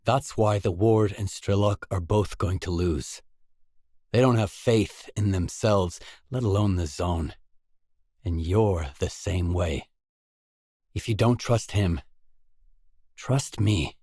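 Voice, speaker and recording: An actor playing an earnest, determined stalker in a post-apocalyptic video game, speaking in a measured, solemn way. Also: male voice, sits low, warm tone, slightly rough, no room sound, clean studio sound, exaggerated voice. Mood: solemn, resolute. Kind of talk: scripted video game dialogue.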